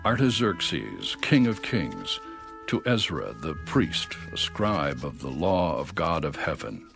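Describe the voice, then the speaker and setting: A man playing a Persian king, formally issuing a measured, authoritative decree in a dramatised scripture reading. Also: extremely low pitch, very animated, gravelly, a bit bright, soft background music, exaggerated voice. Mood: solemn, commanding.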